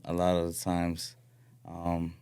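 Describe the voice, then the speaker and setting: A young man from Florida talks in a deep, resonant voice. He chats as a podcast guest in a soft, hesitant way, with plenty of fillers. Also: monotone, slow pace, clean tone. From Florida, raised in Arizona. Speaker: guest musician. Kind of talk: casual podcast chat.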